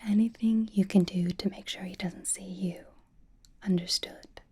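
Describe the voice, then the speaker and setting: A woman's voice: medium pitch, steady tone, unhurried, warm tone. A woman voicing a possessive, flirtatious vampire in an intimate, teasing whispered ASMR roleplay, with dry exasperation. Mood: mildly exasperated. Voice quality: breathy whisper. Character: possessive, flirtatious vampire doting on captive vampire hunter.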